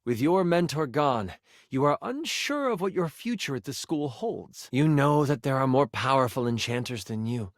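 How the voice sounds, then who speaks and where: An actor playing a theatrical fantasy game master who narrates with dramatic storytelling flair. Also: male voice, mid-range voice, lively intonation, moderate pace, no echo, clean studio sound, lightly acted. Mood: solemn, wondering.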